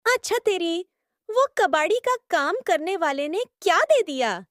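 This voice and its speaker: High, swooping, nagging mother-in-law played by a woman. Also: very animated, a little slow, dry recording, studio quality, exaggerated voice. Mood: sarcastic. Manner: nagging, sarcastic. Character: shrill quarrelsome complaining mother-in-law. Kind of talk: cartoon voice acting.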